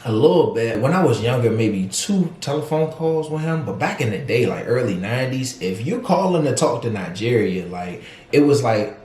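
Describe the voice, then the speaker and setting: A man's voice: mid-range voice, very animated, normal speed, few pauses, a little mellow. Chill guy in his 30s, voice bounces around a lot, echoey room. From Washington, D.C. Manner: laid-back, playful slang, reflective. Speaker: vlog co-host. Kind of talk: casual couple chat.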